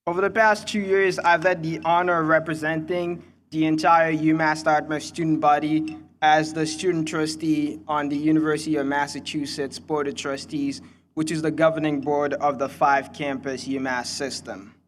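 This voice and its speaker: Young Congolese-accented man, higher-pitched, speechmaking. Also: level tone, moderate pace, a little hiss or hum. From Kinshasa, Democratic Republic of the Congo. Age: young adult. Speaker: student commencement speaker. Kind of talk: prepared graduation speech.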